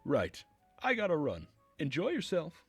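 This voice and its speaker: A man's voice: fairly high pitch, huge pitch swings, measured pace, slightly rough, no echo, soft background music, voice pushed up, full character voice. A man voicing a perky, friendly teenage girl in a visual novel, with a breezy, chirpy manner.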